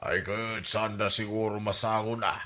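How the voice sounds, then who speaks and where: A man's voice: fairly low pitch, flat delivery, normal speed, sharp tone. A man with an Ilocano accent playing a sympathetic, patient middle-aged father in a radio drama. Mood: matter-of-fact.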